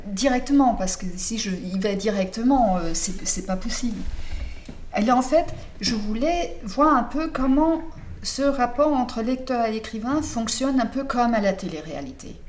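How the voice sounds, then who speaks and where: A woman's voice: on the high side, normal speed, darkish tone. Woman writer, not a native speaker, interviewee, thinks aloud, many restarts, faint background noise. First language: not French. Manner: thoughtful, searching for words with many restarts. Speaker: novelist. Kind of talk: interview answers.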